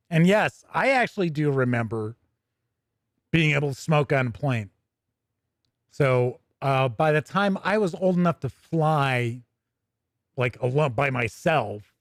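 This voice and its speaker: A man's voice: medium pitch, no echo, clean studio sound. A middle-aged American man hosting a podcast in casual, rambling chat, often breaking off and restarting his thoughts. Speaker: podcast host.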